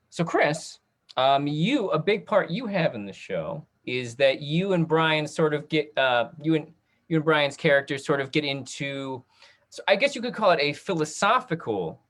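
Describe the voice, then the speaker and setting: A man moderating a panel discussion, speaking in hesitant, halting phrases. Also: a little high, a bit bright. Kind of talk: hosting a talk-back discussion. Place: virtual video call.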